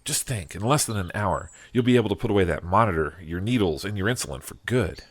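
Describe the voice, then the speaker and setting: A man's voice: on the low side, expressive, fast talker. A man playing a devoted, anxious husband who narrates his own story in an earnest audio drama style. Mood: tender, encouraging. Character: devoted husband of an ailing wife, anxious, first-person narrator. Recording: clearly noisy audio drama track.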